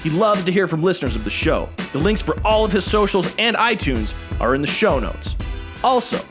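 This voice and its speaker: A man's voice: high voice, sing-song, brisk, music in the background. A man announcing a podcast outro in a scripted, promotional read.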